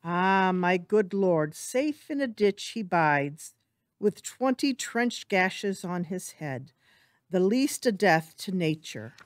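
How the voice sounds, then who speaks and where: A woman playing a hired cutthroat in a Shakespearean dramatic reading, with drawn-out, dramatic delivery. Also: lowish voice, lively intonation, no room sound, studio quality. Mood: grim, deferential. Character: hired cutthroat reporting to the king. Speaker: amateur actor in a library's dramatic reading.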